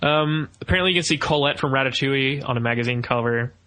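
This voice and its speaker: A man's voice: mid-range voice, fast, sharp tone. A man co-hosting a film review podcast, chatting casually with geeky enthusiasm. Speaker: podcast co-host reviewing films. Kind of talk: casual fan discussion.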